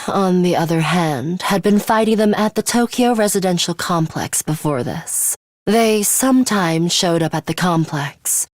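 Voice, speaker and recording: A woman plays a composed schoolgirl fighter from a video game, arrogant and quietly bloodthirsty. Her voice is slightly low and very unhurried, with formal, exact phrasing and a faintly sinister edge. The sound is close and dry. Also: dry recording, natural voice. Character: serious, coolly arrogant young schoolgirl fighter with a bloodthirsty streak. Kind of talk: English video game voice acting, measured monologue.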